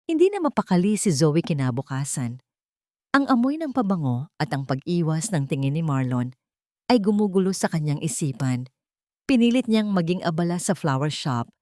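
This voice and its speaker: A woman's voice: sits low, mellow, no echo, clean studio sound. A woman narrating a scripted romantic story in an emotive, dramatic way. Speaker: narrator of romantic audio stories.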